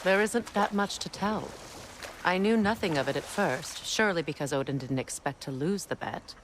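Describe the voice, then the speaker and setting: A woman playing a proud, wistful Norse goddess and weary former queen in a video game, speaking in a measured, solemn way. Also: lowish voice, expressive, noisy background, natural voice. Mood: wry, measured. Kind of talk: dramatic video game acting.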